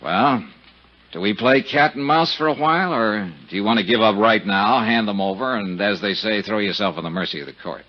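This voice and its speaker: An actor playing a cynical, hard-boiled American private investigator in 1950s radio drama style, with a sardonic, slangy, wisecracking manner. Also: male voice, fairly low pitch, fairly quick, natural voice. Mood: needling, accusing. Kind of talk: 1950s radio detective drama acting and narration. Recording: muffled, hissy 1950s radio recording.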